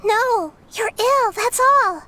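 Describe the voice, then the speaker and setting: A woman voicing a small, childlike goddess of wisdom in a video game, speaking in a soft, earnest, composed way. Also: very high-pitched, expressive, slow, over loud music, heavily acted. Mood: earnest, pleading.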